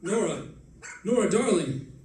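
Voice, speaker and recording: An actor playing a determined, hardboiled detective in an old-time radio drama, with clipped, wisecracking tough talk. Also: male voice, sits high, expressive, measured pace, darkish tone. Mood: tender, relieved. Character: hardboiled, determined male detective, tender to his wife. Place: live stage performance, mic at some distance. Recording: roomy stage recording, distant, faint noise.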